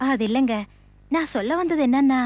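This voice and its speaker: Anxious young wife, TV serial played by a woman: high voice, tentative and respectful, close dry sound. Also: fairly quick, darkish tone, no echo, lightly acted. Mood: worried, coaxing. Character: anxious, deferential young wife of a film producer. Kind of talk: Tamil television serial acting.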